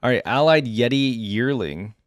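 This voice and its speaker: A man's voice: medium pitch, lively intonation, moderate pace, no echo. A man hosting a reaction video, commenting casually and musing in an informal way.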